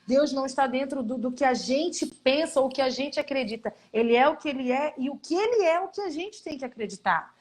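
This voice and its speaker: A Brazilian missionary woman telling stories from her work with children, earnest and animated, acting out quotes as she goes. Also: sits high, a little fast, few pauses. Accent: Brazilian Portuguese. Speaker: missionary guest. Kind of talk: casual testimony in a live stream.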